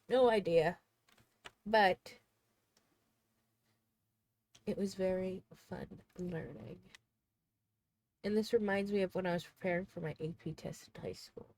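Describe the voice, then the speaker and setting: Congested twenty-something girl rambling on her stream at home, mid-pitched, desk mic. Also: mellow, slightly rough. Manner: rambling, chatty, thinks aloud. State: congested, sneezing. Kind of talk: casual livestream chat.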